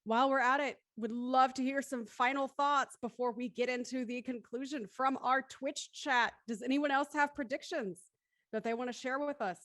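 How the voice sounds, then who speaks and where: A woman's voice: high-pitched, fairly even intonation, normal speed, fairly bright tone, no echo. A woman hosting and moderating a casual group discussion. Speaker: host and moderator of a cast discussion.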